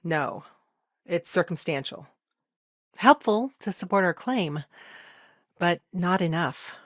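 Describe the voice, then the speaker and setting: Low-voiced, dry-witted lawyer. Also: female voice, lively intonation, slow pace, dry recording. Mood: measured, professional. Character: busy, dry-witted professional woman lawyer in a space colony. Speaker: voice actor in a video game. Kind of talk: scripted game dialogue.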